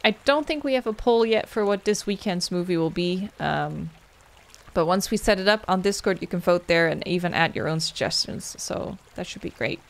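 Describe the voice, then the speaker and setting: A woman's voice: lowish voice, animated, moderate pace, creaky. Chatty young woman streamer. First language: Dutch. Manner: chatty, rambling, thanks viewers by name, answers chat questions. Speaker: game streamer. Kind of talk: casual end-of-stream chat with viewers.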